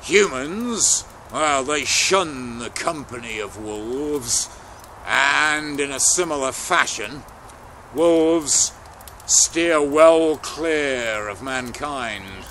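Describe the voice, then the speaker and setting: A man telling a folktale aloud in a dramatic, deliberate way, with pauses between phrases. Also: medium pitch, lively intonation, pushed and bright, slight background noise. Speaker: storyteller. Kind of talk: oral folktale storytelling, unscripted.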